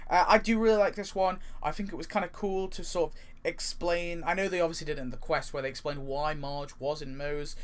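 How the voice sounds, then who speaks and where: Young man, higher-pitched, rambling countdown commentary. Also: steady tone, a little fast, few pauses. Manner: rambling, emphatic repetition. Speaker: gaming video host.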